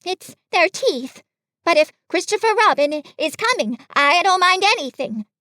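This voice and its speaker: A woman's voice: extremely high pitch, sing-song, unhurried, pushed and bright, no echo, studio quality, pitched above the performer's own voice, heavily acted. A woman voicing a small, timid piglet in a children's story, hesitant, worried and earnest. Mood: anxious, earnest. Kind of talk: children's storybook character reading.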